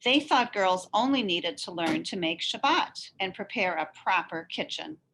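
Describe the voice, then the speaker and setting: A woman reading a children's story aloud in an expressive, animated narrating style. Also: on the low side, measured pace, a little hiss or hum, low-quality recording. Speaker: story reader.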